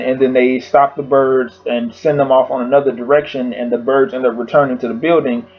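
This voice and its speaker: A man with a hoarse voice casually recapping a TV episode at home, rambling with lots of fillers. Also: mid-range voice, highs cut off. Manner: rambling, filler-heavy storytelling. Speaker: YouTube reviewer.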